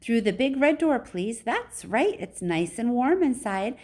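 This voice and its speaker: A woman voicing an effusive, eccentric male factory owner from a children's storybook, with a high, fluty voice and gushing, quick-fire politeness. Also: big pitch swings, darkish tone. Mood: brisk cheer.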